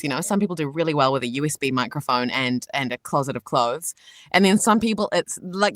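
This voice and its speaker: A woman with a fairly low voice talks in a loose, rambling way, full of fillers and little giggles. She is recorded from a home voice-over booth, and the sound is very dry. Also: no echo. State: vocally tired. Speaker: voice actor guest. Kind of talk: casual video call interview. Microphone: home booth microphone.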